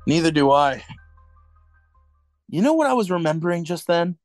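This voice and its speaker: A man playing an earnest, anxious rebel in a radio drama, with a hesitant, halting delivery. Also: a little high, big pitch swings, dry recording, soft background music. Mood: tender, wistful. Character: earnest, anxious male rebel and lover in a dystopia. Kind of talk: radio play acting.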